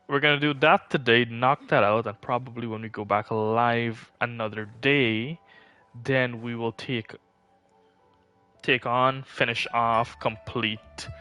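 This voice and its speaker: Guy streamer chatting slow, kinda bright tone, dry mic, game music low. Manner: rambling, self-correcting. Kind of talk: casual livestream chat.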